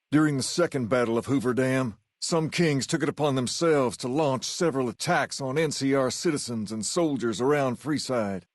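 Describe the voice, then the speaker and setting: Game epilogue narrator, played by a man: solemn male storyteller, measured scripted delivery, medium pitch, dry booth sound. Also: no echo, lightly acted. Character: male storyteller of a post-apocalyptic game's epilogues.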